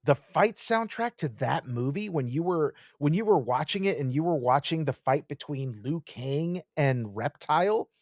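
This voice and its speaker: Podcast co-host, male, animated and profane, dry close-miked sound. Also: a little high, moderate pace, hardly any pauses, no room sound. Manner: animated, swears often, gushing enthusiasm. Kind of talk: casual chat.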